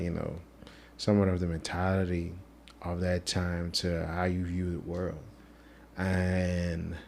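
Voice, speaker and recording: A man's voice: sits low, creaky. A man hosting a podcast, asking loose, rambling questions with many fillers. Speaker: podcast host. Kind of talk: casual interview questions.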